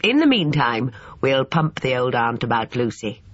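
A narrator voicing a charming, scheming, suspicious English gentleman who talks glibly and complains, in an audiobook. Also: medium pitch, sing-song, normal speed, no room sound, lo-fi sound.

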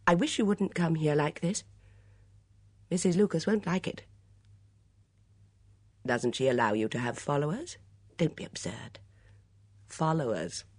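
A woman's voice: low-pitched, lively intonation, a little slow, long pauses, husky, no room sound, voice pushed up, heavily acted. A woman voicing a cool, reserved young woman in a story, clipped and dismissive.

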